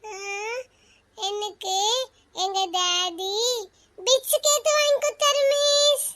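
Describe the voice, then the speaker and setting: A woman voicing an excitable, childish little schoolgirl in a cartoon classroom, with a sing-song way of boasting and complaining. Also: extremely high pitch, drawn-out words, exaggerated voice. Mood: proudly boasting.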